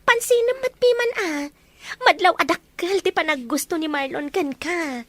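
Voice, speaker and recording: A woman's voice: extremely high pitch, normal speed, fairly bright tone, no room sound. A woman playing a pushy, teasing young best friend in an audio drama. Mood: coaxing. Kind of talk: Ilocano audio drama acting.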